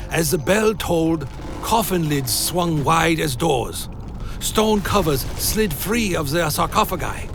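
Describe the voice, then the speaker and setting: A man playing a brooding vampire who tells his tale in a grave, deliberate, dramatic way, as scripted gothic radio play narration. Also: fairly high pitch, creaky. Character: Alaric the Damned, brooding male vampire telling his tale. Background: music.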